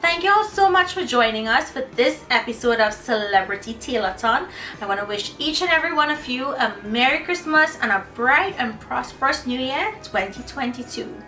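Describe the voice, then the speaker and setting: A woman's voice: high voice, animated, moderate pace, sharp tone, low-quality recording. A woman presenting a recipe for children in an instructive, friendly demonstration style, speaking directly to them.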